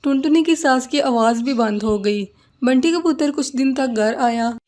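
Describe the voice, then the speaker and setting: A woman with a high-pitched voice narrates a children's animated tale. Her delivery is scripted storytelling in the third person. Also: a little slow.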